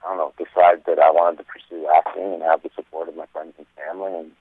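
A man's voice: low-pitched, level tone, fast talker, husky, no echo. A man from Lebanon, an actor talking as a guest by phone, upbeat and chatty with lots of fillers. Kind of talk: phone interview. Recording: phone-line audio.

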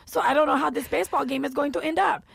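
A woman voicing an excitable, blunt male ballplayer in a put-on Dominican Spanish accent, loud and emphatic. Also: high voice, quick pace, creaky, dry recording, pitched above the performer's own voice. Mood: indignant.

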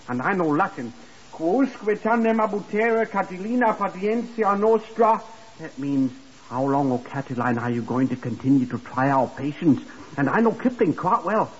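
A man's voice: fairly high pitch, a little hiss or hum, lightly acted. An actor in a 1950s radio drama playing a simple, forgetful young working man, deferential and earnest as he rambles. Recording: dull vintage 1950s radio recording.

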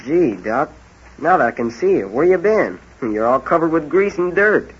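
A sheepish young small-town troublemaker with an American accent. His voice is husky and hesitant, plain and contrite, in a muffled old-time radio drama recording. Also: a little high, sing-song, moderate pace, full character voice. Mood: puzzled, subdued.

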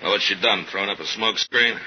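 An actor playing a cynical, bossy, streetwise operative in a 1950s radio drama, with a slangy, mocking way of talking. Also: male voice, lowish voice, a little fast, natural voice. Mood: cynical, needling. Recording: muffled, hissy 1950s radio recording.

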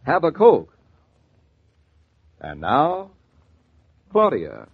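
Animated vintage radio announcer, tinny sound. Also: male voice, on the high side, drawn-out words, gravelly, breathy edge, no room sound. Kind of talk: 1940s radio sponsor announcement. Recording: tinny, narrow-band 1940s radio recording.

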